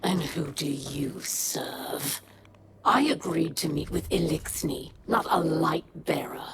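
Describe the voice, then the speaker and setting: Slow, deep-voiced, menacing alien warlord queen. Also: animated, very noisy, heavily acted. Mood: scornful. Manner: slow, menacing, formal oratory. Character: bitter, commanding alien warlord queen, proud and vengeful. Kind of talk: dramatic video game cutscene acting. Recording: loud music under the voice.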